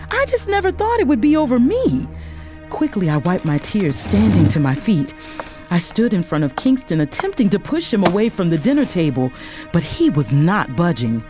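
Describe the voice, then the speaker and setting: A woman playing a hurt adult daughter who narrates her family's rift in a measured first-person audio drama. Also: fairly low pitch, darkish tone.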